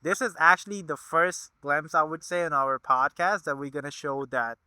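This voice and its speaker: A man hosting a podcast interview, effusive and quick to exclaim his enthusiasm. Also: a little high, sharp tone, clear, resonant tone, no echo. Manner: effusive, exclaims wow often. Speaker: podcast host.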